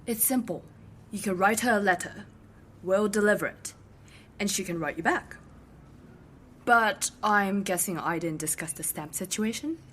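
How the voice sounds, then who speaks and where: An actress playing a bored, blunt teenage girl who answers with flat sarcasm. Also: mid-range voice, normal speed, pauses often, a little hiss or hum, close to an everyday voice. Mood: deadpan. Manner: deadpan, sarcastic, dismissive.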